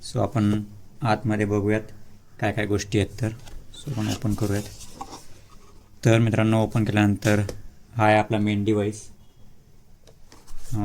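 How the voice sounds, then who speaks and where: A man talks through a product in a low, very even voice. He speaks casually and haltingly, in short phrases with pauses. Some background noise sits behind him. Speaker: vlogger presenting a product unboxing. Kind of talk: casual unscripted product walkthrough.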